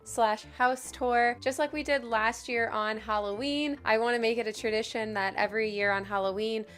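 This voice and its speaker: A woman giving a casual, enthusiastic tour of her pet room, her voice a little hoarse. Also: on the high side, fairly even intonation, normal speed, fairly bright tone. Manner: chatty and enthusiastic, with little laughs. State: slightly hoarse, recovering voice. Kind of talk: casual home tour vlog.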